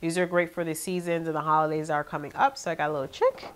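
Young woman with a nasally stuffed up voice, kinda low, chatting away to her viewers from her living room. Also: fast talker, a little hiss or hum. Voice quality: nasal, congested. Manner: chatty, animated, talks to viewers directly. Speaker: vlogger. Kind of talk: casual haul video chat.

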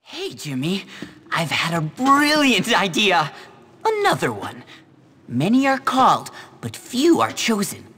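An actor playing a scheming, self-important nerdy teenage schoolboy in a video game, wordy and melodramatic. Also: male voice, high voice, huge pitch swings, measured pace, sharp tone, husky, heavily acted. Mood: grandiose scheming. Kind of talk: video game cutscene acting. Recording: reverberant hall, faint noise.

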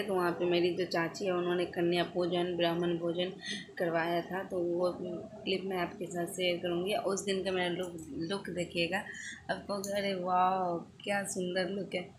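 Young woman vlogger, hoarse from a cold, low subdued delivery, lowish voice, noisy rooftop phone audio. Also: level tone, moderate pace. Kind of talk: casual vlog talk to camera. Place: rooftop terrace outdoors. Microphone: phone at arm's length.

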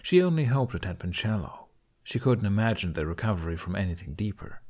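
Guy reading a novel aloud, lowish voice, really animated intonation. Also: brisk. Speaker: audiobook narrator. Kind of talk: scripted fiction narration.

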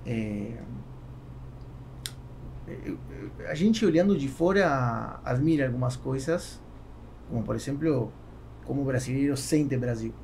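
Gravelly man with a Spanish accent. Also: mid-range voice, darkish tone. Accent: Spanish-accented Portuguese. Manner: halting, with repeated words. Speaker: podcast guest, businessman. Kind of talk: casual interview talk. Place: small foam-padded podcast studio. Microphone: close studio microphone on boom arm. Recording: faint background noise.

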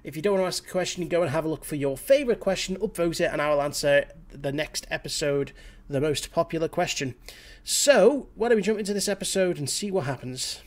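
A man's voice: a little high, fairly quick. A young man with a slightly scratchy voice from a cold, giving opinionated reaction commentary to camera over a headset mic in a small home room. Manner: opinionated, talks straight to camera with fillers. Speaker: reaction channel host.

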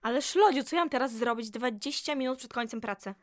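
A woman's voice: fairly high pitch, brisk, no room sound, clean studio sound, close to an everyday voice. A woman playing an anxious, fretful archivist in an audio drama, speaking in exclamations. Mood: alarmed, fretful. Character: flustered, anxious female archivist in communist-era Poland.

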